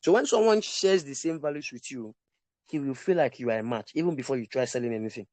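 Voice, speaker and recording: A man's voice: medium pitch, animated, fast, a little mellow, dry recording. Male sales coach, emphatic and repetitive, dry close sound. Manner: repetitive, emphatic, checks in with listeners. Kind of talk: live online class teaching. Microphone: online call.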